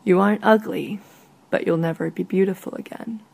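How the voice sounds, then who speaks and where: A woman reading a literary short story in a soft, measured way, with a husky, breathy voice. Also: mid-range voice, fairly even intonation.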